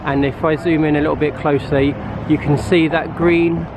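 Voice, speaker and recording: A man's voice: on the high side, flat delivery, moderate pace. A man chatting casually on a travel vlog, outdoors on a city street and recorded on a compact camera mic, rambling and repeating himself a little. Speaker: travel vlogger. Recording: street traffic noise behind.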